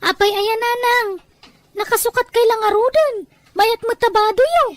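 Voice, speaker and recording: A bossy, vain village woman, acted by a woman for an Ilocano radio-style family drama. Her voice sits very high, and she talks in an impatient, scolding, insistent way. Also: moderate pace, dry recording. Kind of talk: Ilocano audio drama acting.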